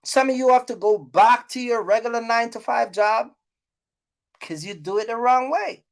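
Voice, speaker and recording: Female YouTube host, higher-pitched voice, blunt scolding advice, off-the-cuff and repetitive for emphasis. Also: moderate pace. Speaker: YouTube host giving trucking business advice. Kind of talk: casual unscripted rant to viewers.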